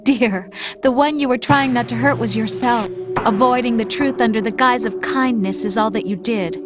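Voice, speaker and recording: A woman plays a wounded young woman confronting her partner, her voice a little high. She speaks in a reproachful, cutting way. Game music plays clearly behind her. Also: normal speed, natural voice. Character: hurt young woman, accusing lover. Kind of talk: video game cutscene acting.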